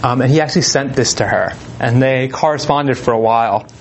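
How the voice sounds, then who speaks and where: Man, informal talk, reads letters aloud, relaxed, ums and pauses, mid-pitched voice, faint background hiss. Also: rough recording. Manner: relaxed, hesitant with frequent ums. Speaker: presenter.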